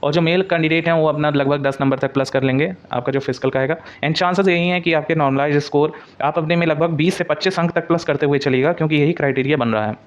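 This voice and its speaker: Man, quick pace, coaching presenter, explanatory, English words mixed in, dull lo-fi sound. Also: on the high side. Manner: mixes English words into Hindi, repeats points for emphasis. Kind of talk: informal explanatory exam update. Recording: dull, lo-fi audio.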